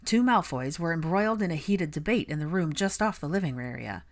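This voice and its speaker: A woman's voice: sits low, lively intonation, a little fast. A woman reading a story aloud as an audiobook narrator, in scripted third-person narration. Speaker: audiobook narrator of fan fiction.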